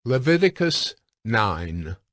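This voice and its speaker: A man narrating scripture from a script in a measured, solemn manner. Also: on the low side, sing-song, fairly bright tone, no room sound, studio quality. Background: music.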